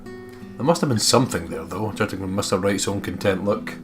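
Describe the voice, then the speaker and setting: Deep-voiced man reading game text aloud. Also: fairly quick. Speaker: let's play co-host reading the game's text. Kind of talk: expressive narration with crude casual commentary. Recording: game music underneath.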